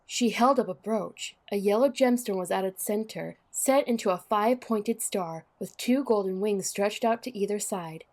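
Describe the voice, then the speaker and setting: A woman's voice: mid-range voice, moderate pace, few pauses, darkish tone. A woman narrating a scripted story for an audio drama.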